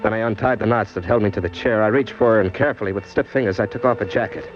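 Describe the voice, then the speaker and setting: A man's voice: fairly low pitch, very fast, natural voice. An actor playing a cynical, slangy hardboiled criminal who tells his own crime story in an old-time radio drama. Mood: grim, matter-of-fact. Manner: tough, wry, slangy. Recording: dull old radio recording, music behind.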